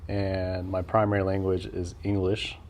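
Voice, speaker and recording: A young adult man hosting a casual interview, laid-back and quick with murmured backchannels. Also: deep voice, completely flat delivery, darkish tone, a little hiss or hum. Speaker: podcast host.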